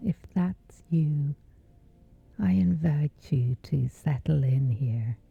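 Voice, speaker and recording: Very slow, breathy low-voiced woman. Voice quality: soft, breathy, whispery. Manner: gentle, soothing, measured with long pauses. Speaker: guided meditation host. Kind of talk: slow guided sleep meditation. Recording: dull muffled audio under music. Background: music.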